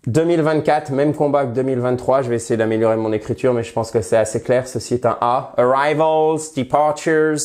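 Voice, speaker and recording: A man teaching English phrases in French, with a head cold, lively and friendly, slowing down to model the English. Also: medium pitch. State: has a cold, slightly nasal voice. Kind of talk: bilingual language lesson at a whiteboard.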